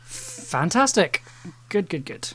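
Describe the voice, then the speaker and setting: A man's voice: high voice, very animated, slow pace. A man chatting casually on a livestream as an audio editor, thinking aloud while working. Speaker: audio drama editor and streamer. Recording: faint noise, keyboard clicks. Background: keyboard typing and clicks.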